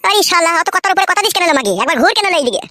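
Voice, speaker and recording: A man plays a young village husband from a crude comedy cartoon, shrill and extremely quick. He swears and badgers, hot-headed and whiny, in a close, dry sound. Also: animated, pushed and bright, no echo, exaggerated voice. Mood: angry, frustrated.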